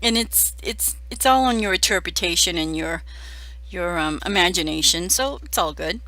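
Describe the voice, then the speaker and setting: A middle-aged woman, hoarse from a cold, chatting casually through a card-making voice-over with many fillers. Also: on the low side, animated, moderate pace, bright, forceful tone, no echo, rough recording.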